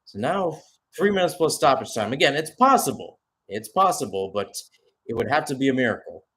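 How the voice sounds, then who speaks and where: A man's voice: medium pitch, expressive, brisk, a bit bright. A man giving unscripted live play-by-play football commentary in a conversational, analytical way.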